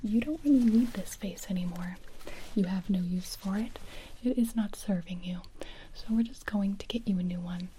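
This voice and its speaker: A blunt skincare specialist, whispered by a woman in a comedic ASMR roleplay, talking in a mock-soothing, deadpan way. The whisper is mellow and close, with a faint hiss underneath. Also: mid-range voice, normal speed, natural voice, poor audio.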